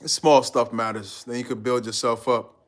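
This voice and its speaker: A man's voice: mid-range voice, fairly even intonation, moderate pace. A man from Guyana hosting a live stream, chatting loosely with his viewers in a slangy, rambling way and reading out their comments. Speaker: livestream host.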